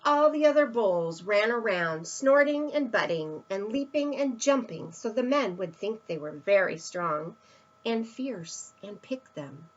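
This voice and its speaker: A woman's voice: mid-range voice, animated, a little slow, dull audio. A woman reading a children's picture book aloud, expressively, with emphatic stresses and asides to young listeners. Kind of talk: read-aloud children's storytelling.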